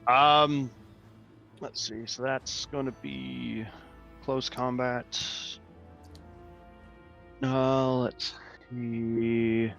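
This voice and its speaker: A man's voice: mid-range voice, level tone, drawn-out words, vocal fry, pauses often, fairly bright tone, soft background music. A man playing a tabletop game, talking casually and thinking aloud as he decides his moves. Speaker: player.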